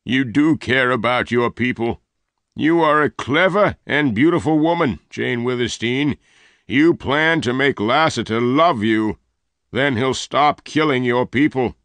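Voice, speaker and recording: A man's voice: medium pitch, fairly bright tone, no echo, voice pushed up. A man voicing a fat, gray-haired older male leader who fires off demanding questions in a raised voice. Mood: sly. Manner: demanding, hectoring rapid questions.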